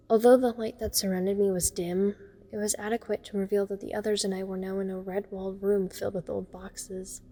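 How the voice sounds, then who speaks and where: A woman's voice: mid-range voice, warm tone, lightly acted. A woman playing a teenage girl who narrates her horror ordeal in the first person, observant and uneasy. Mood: wry, fond. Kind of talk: first-person dramatic audio story narration.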